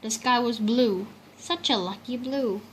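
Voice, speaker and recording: A woman's voice: on the high side, a little slow, rough recording. A woman reading a children's picture book aloud in a storytelling style. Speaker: storybook reader. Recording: clear steady background noise.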